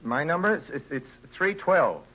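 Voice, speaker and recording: A man's voice: on the high side, big pitch swings, normal speed, sharp tone, husky, heavily acted. An actor playing a frantic, haunted young driver telling his story with dramatic intensity in a 1940s radio play. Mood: anxious. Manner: intense, dramatic narration, breaking into whispers. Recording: noisy muffled 1940s radio broadcast recording.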